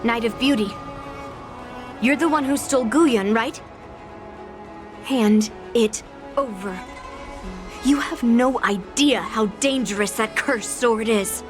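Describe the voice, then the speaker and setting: A woman's voice: on the high side, slow, pauses often. A woman voicing a stubborn young female sword hunter in a video game, blunt and commanding in the way she talks. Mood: demanding. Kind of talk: video game character acting. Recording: game music behind the voice.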